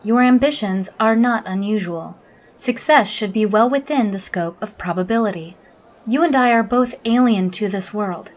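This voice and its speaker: A woman playing a logical alien officer in an audio drama, interviewing in a formal, measured, reserved manner. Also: mid-range voice, close to an everyday voice.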